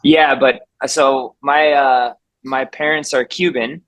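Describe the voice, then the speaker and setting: A man whose first language is Spanish hosts a casual video call, welcoming members with a chatty, rambling, upbeat manner. His voice sits at a middle pitch. The sound is dry and close, with almost no room echo. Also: steady tone, slow pace, a bit bright. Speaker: community call host.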